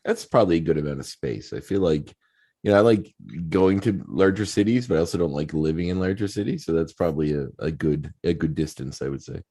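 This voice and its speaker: Very deep-voiced chatty podcast host. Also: male voice, fairly quick, warm tone, slightly rough. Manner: conversational, rambling with many fillers. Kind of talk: casual interview chat.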